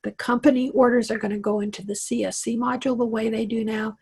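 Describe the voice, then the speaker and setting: A middle-aged woman from Wyoming with a Western American accent tinged Southern, talking through a computer mic on a video call. Her voice is deeply husky and sits a little high, and she is chatty and reassuring, looping back with fillers as she coaches a class. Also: flat delivery, quick pace, a little mellow. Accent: Western American with a slight Southern tinge. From Wyoming, USA. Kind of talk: live online tech class.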